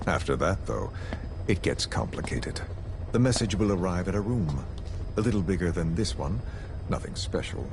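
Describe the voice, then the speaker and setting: A cold, menacing game villain. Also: male voice, low-pitched, expressive. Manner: slow, measured, quietly threatening. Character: cold, menacing male villain, calm and scheming. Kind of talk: game cutscene dialogue. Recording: noisy game audio recording.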